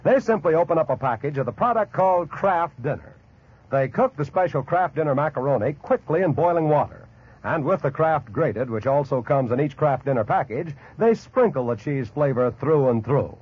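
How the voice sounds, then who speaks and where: An American man reading a 1940s radio advertisement as a commercial announcer, with a husky voice. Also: fairly high pitch, animated, moderate pace. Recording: dull vintage 1940s radio recording.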